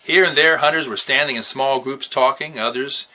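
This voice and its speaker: A man reading a novel aloud as an expressive storyteller. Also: mid-range voice, normal speed, poor audio. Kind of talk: expressive read-aloud narration.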